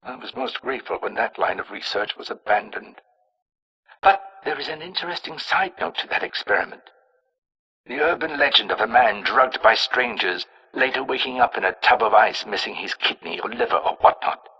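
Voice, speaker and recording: A learned, disdainful keeper of dark secrets in a video game. His voice is raspy and creaky, with widely swinging intonation. He speaks formally and precisely, with wry distaste. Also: fairly low pitch, lively intonation, normal speed, full character voice. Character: erudite, disdainful male insider revealing dark secrets. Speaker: informant character in a video game. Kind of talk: scripted confessional monologue.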